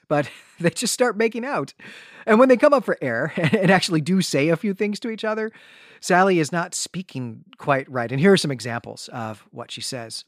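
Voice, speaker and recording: Chuckling male podcast host, slightly higher voice. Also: no echo, studio quality. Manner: animated storytelling, often chuckling through sentences. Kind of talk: casual conversational literary discussion and plot retelling.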